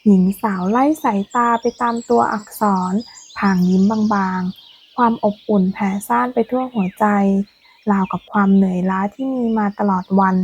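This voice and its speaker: A woman narrating a storybook tale as a storyteller, in a gentle, tender scripted delivery. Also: mid-range voice, normal speed, breathy edge.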